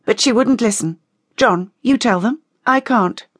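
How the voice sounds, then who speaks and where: A woman's voice: on the high side, a little slow, breathy edge, dry recording, clean studio sound, voice pushed up. A woman voicing a bitter, restless female vampire in an audiobook, speaking with emphatic indignation. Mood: aggrieved. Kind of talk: audiobook character dialogue.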